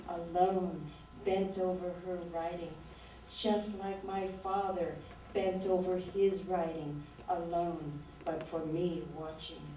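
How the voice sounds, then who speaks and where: A woman in her sixties, an author reading her poetry aloud and talking about it in a slow, measured, reflective way. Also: medium pitch, dark tone, a little hiss or hum. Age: 60s. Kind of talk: poetry reading with talk between poems. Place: lecture room at a literary event. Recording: very echoey room, voice distant from mic.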